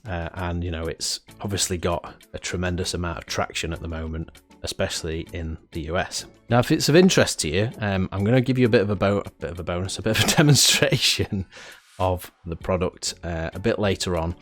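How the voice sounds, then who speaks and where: Deep-voiced man with a cold. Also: fairly quick, soft background music. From United Kingdom. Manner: chatty, frequent "uh" fillers and restarts. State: has a head cold, sniffling. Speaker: product reviewer. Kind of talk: casual product review to camera.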